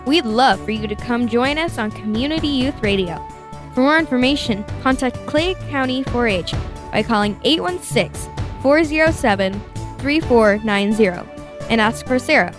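A woman's voice: on the high side, animated, normal speed. A female announcer reading a scripted radio promo. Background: music.

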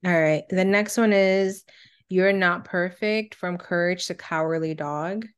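A woman's voice: lowish voice, unhurried, clean tone. A woman co-hosting a podcast, chatting casually and reacting her way through a countdown list. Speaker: podcast co-host.

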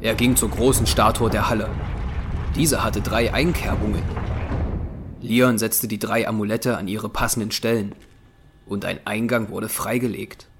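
A man narrates an audio drama from a script. His voice is on the low side, with faint noise and ambience behind it. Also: fairly even intonation, moderate pace.

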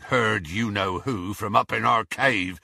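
Audiobook giant: hearty, loyal, rough-spoken, forceful husky delivery, gruff colloquial talk. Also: male voice, fairly high pitch, big pitch swings, a little slow, no echo, studio quality, voice pushed up, exaggerated voice. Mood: grim, gruff. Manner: bellowing, panting, gruff, colloquial.

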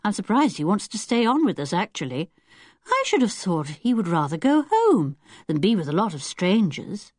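Confident, courteous teenage boy leader for a children's audiobook, voiced by a woman: British, well-spoken, very animated delivery. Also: medium pitch, moderate pace, no echo, clean studio sound, pitched above the performer's own voice. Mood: polite, assured. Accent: British English. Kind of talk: children's audiobook character acting.

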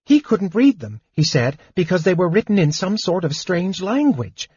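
A small, fidgety male kender chatters in a thin, high voice, put on by a man reading aloud. He stammers and rushes his words. The audiobook sound is a bit dull and dry. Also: very animated, a little mellow, breathy edge, no echo, heavily acted. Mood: nervous.

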